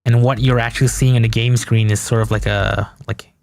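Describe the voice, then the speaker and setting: A man's voice: mid-range voice, little pitch movement, a little fast, vocal fry, darkish tone. A young man from Malaysia, whose first language is Malay, chatting loosely on a game-dev live stream and thinking aloud with restarts. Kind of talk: casual live-stream chat while working.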